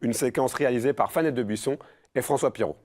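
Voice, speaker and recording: Male TV host, quick and direct, mid-pitched voice. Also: darkish tone. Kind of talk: interviewing a guest.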